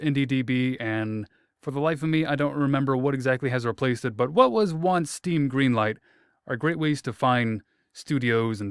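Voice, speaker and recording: A man from Indiana hosting an interview, asking questions in a relaxed, joking way. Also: medium pitch, clear, resonant tone, no echo, clean studio sound. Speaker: interviewer, host.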